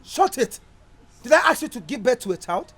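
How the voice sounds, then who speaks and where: A man's voice: extremely high pitch, sing-song, normal speed, full character voice. A man playing a pompous bullying councillor. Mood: arrogant. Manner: pompous, domineering, shouting orders. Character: arrogant bullying male councillor, middle-aged. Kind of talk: Nollywood drama acting.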